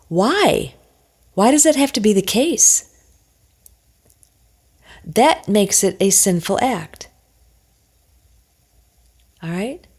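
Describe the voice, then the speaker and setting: A woman's voice: a little high, a little mellow. A woman giving an informal religious teaching talk, emphatic and insistent, repeating her points and posing rhetorical questions.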